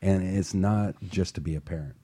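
Low, dark-voiced male host. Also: normal speed, breathy edge. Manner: earnest, passionate, repeats words when starting. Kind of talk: motivational talk show.

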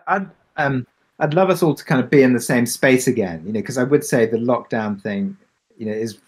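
A man answering questions on a discussion panel as a drama creator, speaking reflectively and haltingly with many pauses. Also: fairly low pitch. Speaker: audio drama creator and producer.

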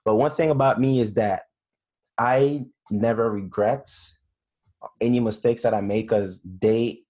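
A man's voice: medium pitch, measured pace, warm tone. A man in his late twenties hosting a casual interview, speaking in a friendly, encouraging way. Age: 20s. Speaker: host.

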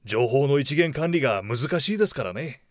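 A man playing a mild, reasonable office manager in an audio drama, polite and measured. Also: mid-range voice, expressive, no room sound, studio quality, natural voice.

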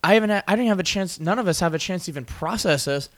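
A young man co-hosting a casual sports podcast, telling game stories in an animated way and laughing often. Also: high voice, very fast. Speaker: podcast co-host.

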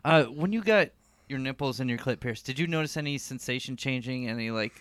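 A man asking calm, direct questions in a casual podcast. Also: medium pitch, brisk, no echo.

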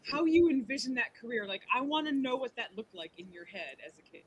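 A woman's voice: fairly high pitch, lively intonation, quick pace, breathy edge, highs cut off. A woman hosting a podcast, upbeat and self-deprecating, often saying "like". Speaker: podcast host. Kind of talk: casual chat.

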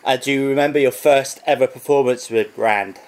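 A man's voice: mid-range voice, fairly quick. A man interviewing a singer, asking short, direct prepared questions.

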